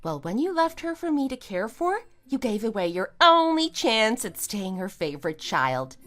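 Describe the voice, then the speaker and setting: High-pitched, scornful wronged wife, acted by a woman. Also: very animated, moderate pace, fairly bright tone, faint music behind. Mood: cold, firm. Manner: sarcastic, taunting, emphatic. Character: wronged wife, scornful and triumphant, kicking out cheating husband. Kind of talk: amateur audio drama acting.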